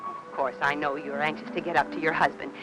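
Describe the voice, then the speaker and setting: An actress playing a kindly, solicitous neighbor woman in a mid-century film noir, speaking in a gentle, concerned way. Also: deep voice, little pitch movement, quick pace, lightly acted, rough recording. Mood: reassuring.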